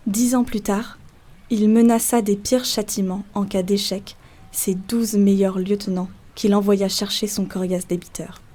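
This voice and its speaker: A woman's voice: mid-range voice, level tone, measured pace, warm tone. A woman narrating a literary folk tale as a scripted storyteller.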